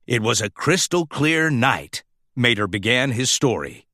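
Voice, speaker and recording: A man's voice: mid-range voice, big pitch swings, measured pace, pushed and bright, dry recording, clean studio sound. A man reading a children's storybook aloud as scripted narration. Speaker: storybook reader.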